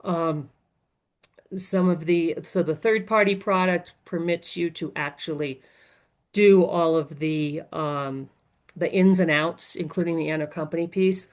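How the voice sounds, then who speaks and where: A woman giving an informal, explanatory webinar as a software trainer, phrasing things piece by piece and correcting herself as she goes. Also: fairly low pitch, unhurried.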